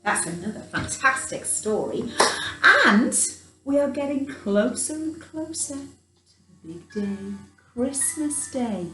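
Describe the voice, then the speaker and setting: A woman reading a children's storybook aloud in a dramatic, deliberate, expressive way. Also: a little high, faint music behind. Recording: roomy, distant microphone.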